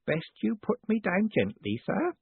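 A man's voice: extremely high pitch, huge pitch swings, brisk, no room sound, phone-quality sound, pitched above the performer's own voice, full character voice. A man voicing an anxious, fussy elderly postman in an audiobook story, easily worked up. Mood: nervous, placating. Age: older.

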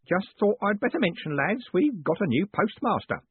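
A male narrator plays a timid old lodger-keeper with a high, wavering pitch. He is nervous and deferential, always keen to please, in a storybook reading that sounds narrow, like a phone line. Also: sing-song, normal speed, husky, no echo, heavily acted. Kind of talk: audiobook character voice.

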